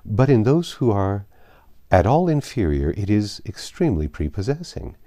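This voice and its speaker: A man's voice: lowish voice, sing-song, normal speed, mellow. A man voicing a pompous, gushing married society woman in a storybook reading, effusive, condescending and emphatic. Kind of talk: audiobook character reading.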